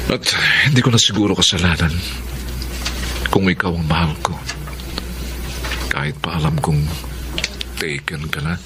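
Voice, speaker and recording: A man's voice: low-pitched, noisy background. An actor playing a suave, earnest, smitten male teacher in a radio drama, with a Filipino accent. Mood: lovelorn.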